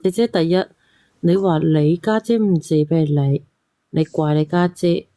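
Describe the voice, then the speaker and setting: A woman's voice: sits low, moderate pace, dark tone, no room sound. A woman on a call-in panel, arguing bluntly and scolding in casual talk. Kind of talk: argumentative casual commentary.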